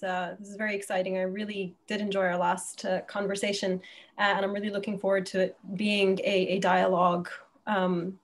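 Medium-pitched woman, halting academic podcast guest. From Egypt. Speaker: guest academic, terrorism scholar. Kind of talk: conversational interview answer. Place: indoor room at home, video call.

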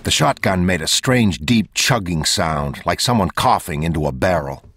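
A man narrating an audiobook in a measured, unhurried reading. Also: sits low, animated, a bit bright, slightly rough, no echo, studio quality.

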